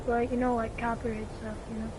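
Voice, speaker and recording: A high-pitched female voice chats casually in the middle of gaming. She sounds like a player talking to friends in loose, everyday speech. The recording carries clear background noise. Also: flat delivery, fast talker. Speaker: video game player. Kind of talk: casual chat during gaming.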